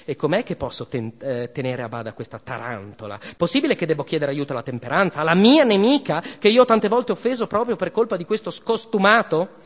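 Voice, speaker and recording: A haughty, jealous goddess mother who scolds and sneers in a raised, theatrical voice, as a male reader puts her on. The pitch sits well up. The reading is heard as a dull, muffled recording with a long hall reverb. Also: expressive, a little fast, few pauses. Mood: brooding vengeful. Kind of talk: dramatic reading of a myth.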